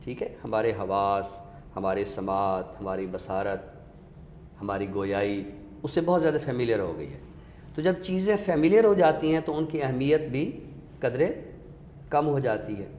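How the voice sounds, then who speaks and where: Male literary expert, didactic and methodical, mid-pitched, recorded in a reverberant room. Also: expressive, normal speed, darkish tone. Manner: didactic, explains step by step with examples. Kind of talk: explanatory literary discussion. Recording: echoey reverberant room with background noise.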